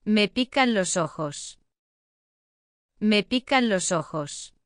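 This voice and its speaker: A woman reads short lesson phrases, saying each one twice with careful enunciation. Her pace is very unhurried and her voice is smooth and crisp. It is recorded dry on a close mic. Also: mid-range voice, lively intonation, no echo. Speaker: language lesson reader. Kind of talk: slow repeated phrase reading.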